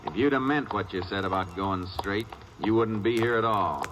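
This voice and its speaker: An actor playing a stern, unruffled Texas Ranger with terse, commanding delivery in an old, very noisy radio drama. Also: male voice, fairly low pitch, moderate pace, close to an everyday voice. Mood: firm, calm. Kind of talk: 1950s radio drama acting.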